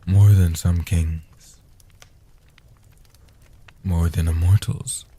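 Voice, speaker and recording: Man playing a hushed, dark-voiced ancient guardian vampire. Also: low voice, fairly even intonation, heavily acted. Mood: tender, reassuring. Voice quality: soft, breathy, hushed. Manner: slow, deliberate, soothing. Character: ancient male guardian vampire, protective, tender, world-weary. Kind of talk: soft-spoken comfort audio roleplay.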